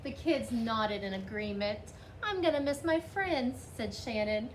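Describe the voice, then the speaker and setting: A woman's voice: a little high, expressive, unhurried, echoey room. A woman reading a picture book aloud expressively, lifting her voice for the children's lines. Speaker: storybook reader. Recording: faint background noise.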